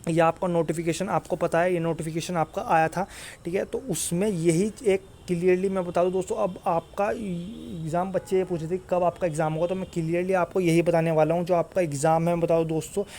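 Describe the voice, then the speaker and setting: A man's voice: high voice, steady tone, fairly quick, a little mellow, slight background noise. A man giving an informal exam update to students in an insistent, repetitive way. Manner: repetitive, insistent, often tags phrases with "okay". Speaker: exam-news YouTuber and coach.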